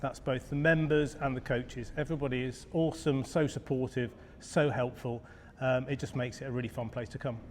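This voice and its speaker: A man in his forties speaks in a plain, upbeat way in an interview. His voice sits at a middle pitch, carried by a long room reverb with faint noise. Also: steady tone, a little fast, a little mellow. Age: 40s. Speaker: gym member interviewee. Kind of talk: casual interview chat.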